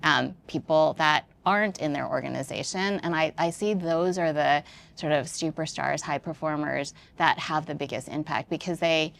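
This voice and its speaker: Low-voiced woman with creaky edge. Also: normal speed. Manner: thoughtful, informal, peppered with "like" and "you know". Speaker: interview guest, business executive. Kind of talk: conversational podcast interview.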